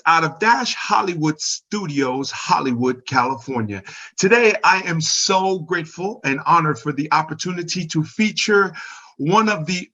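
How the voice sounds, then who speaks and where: A man with a slightly high voice hosts an interview show. His delivery is effusive and gracious, with hesitant pauses. The sound is a bit dull and lo-fi. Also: bright, forceful tone. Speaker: show host. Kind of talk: radio show introduction and interview. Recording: dull lo-fi audio.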